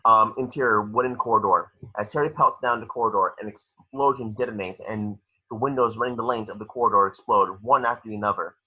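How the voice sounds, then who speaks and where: A man's voice: on the low side, fairly even intonation, a little fast, few pauses, slightly rough, muffled recording. A man reading stage directions aloud as narrator in a dramatic screenplay read-through.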